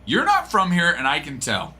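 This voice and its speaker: A man's voice: a little high, wildly swooping pitch, normal speed, pushed and bright. Chatty man hosting a card break. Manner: rambling, chatty, talking to chat viewers. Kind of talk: casual live-stream chat.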